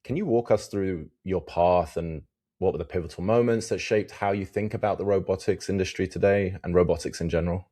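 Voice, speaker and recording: A man's voice: low-pitched, fairly even intonation, brisk, dark tone. A man hosting a podcast and interviewing a guest in a casual, friendly way, chatting with filler words such as "um" and "like.". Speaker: podcast host.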